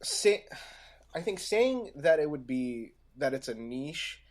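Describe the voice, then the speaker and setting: A man's voice: on the high side, big pitch swings, slow pace. A man talking casually as a podcast panelist, tending to repeat his phrases. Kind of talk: casual chat.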